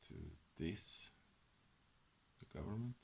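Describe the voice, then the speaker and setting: A Swedish-native man in a soft-spoken ASMR book chat, rambling and hesitant with many fillers, sometimes dropping into a whisper. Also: on the low side, expressive, takes it slowly, gravelly, a little hiss or hum. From Sweden. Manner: drifts into whispers. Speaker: ASMR host.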